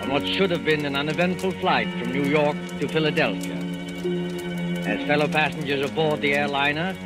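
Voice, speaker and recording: Formal vintage radio narrator over loud music. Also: male voice, on the high side. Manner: formal, dramatic, measured phrasing. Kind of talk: 1940s radio announcing, scripted. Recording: old radio broadcast, loud music behind.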